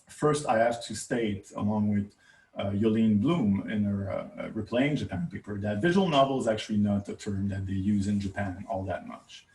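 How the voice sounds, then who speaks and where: A man's voice: on the low side, darkish tone. Echoey, distant-miked man giving a talk. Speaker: academic researcher presenting a paper. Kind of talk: conference talk. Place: indoor room, recorded for a virtual conference. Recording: roomy echo, distant microphone.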